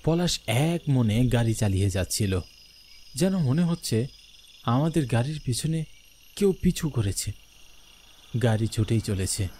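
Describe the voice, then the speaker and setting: A male narrator tells a horror story in the first person from a script. His voice is mid-pitched, with faint noise and crickets chirping behind him. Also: lively intonation, measured pace, pauses often, warm tone. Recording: background noise with night crickets.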